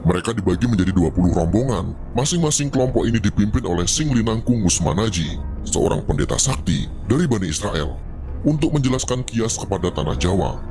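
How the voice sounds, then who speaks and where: A man's voice: on the low side, lively intonation, a little fast, background noise. A man reading a scripted narration in the style of legendary storytelling.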